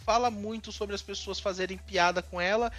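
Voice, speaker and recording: A man's voice: high voice, steady tone, a little fast, fairly bright tone, soft background music. Talkative man. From Northeast Brazil. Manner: talkative, many restarts, indignant opinions. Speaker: co-host. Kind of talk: casual commentary chat.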